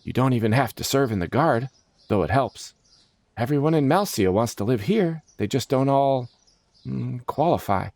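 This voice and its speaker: A man's voice: medium pitch, animated, normal speed, darkish tone, no echo, pitched above the performer's own voice, lightly acted. A man voicing an eager, proud male adventurer who explains things earnestly, as storybook character dialogue. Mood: enthusiastic.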